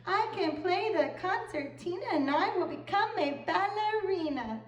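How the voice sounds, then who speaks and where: A woman's voice: very high voice, expressive, measured pace, voice pushed up. A woman voicing a spirited young female javelina who dreams of being a singing ballerina, with a dramatic, exclaiming delivery in a storybook reading. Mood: eager. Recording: roomy, far from the mic.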